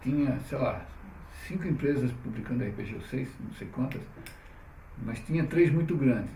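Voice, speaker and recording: A man's voice: medium pitch, lively intonation, moderate pace, dark tone. Man telling stories in a roomy space. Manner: chatty, frequent "né?" tags, restarts. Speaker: interviewee, publisher. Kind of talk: relaxed conversational storytelling. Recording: roomy, distant mic, faint noise.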